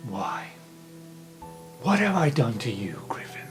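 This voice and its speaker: A man plays a renegade uncle in a fantasy game, smooth and evasive, with hushed theatrical courtesy hiding menace. Music plays clearly behind his mid-pitched voice. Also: big pitch swings, slow pace. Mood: wounded, bitter. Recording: background music under voice.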